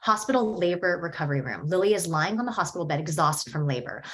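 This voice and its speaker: A woman reading screenplay stage directions at a table read in a steady, descriptive way. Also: on the low side, fast.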